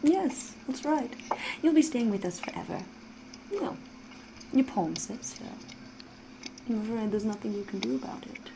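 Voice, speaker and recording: A woman voicing a flirtatious, menacing vampire in an ASMR roleplay, refined and theatrical, with drawn-out phrases slipping into breathy whispers. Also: fairly high pitch, expressive, noisy background. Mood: sinister, possessive. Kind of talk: ASMR roleplay, scripted character monologue.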